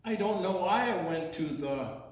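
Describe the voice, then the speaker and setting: Male children's storyteller, high voice, unhurried drawn-out phrasing, playful singsong, animal noises, heavy room echo. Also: lively intonation. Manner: playful, drawn-out words with sung phrases and chatty asides. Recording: very echoey room.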